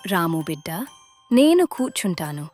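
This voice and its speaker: A woman's voice: on the low side, sing-song, measured pace, darkish tone, soft background music. A woman voicing a kindly, trusting village elder in a moral story. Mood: trusting, pleased.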